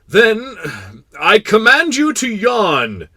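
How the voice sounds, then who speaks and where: A man voicing a proud, kindly but pompous king in a storybook, making imperious declarations with drawn-out words. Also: high voice, wildly swooping pitch, sharp tone, dry recording, studio quality, voice pushed up, full character voice. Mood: imperious, eager. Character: proud, kindly, pompous absolute monarch of a tiny planet.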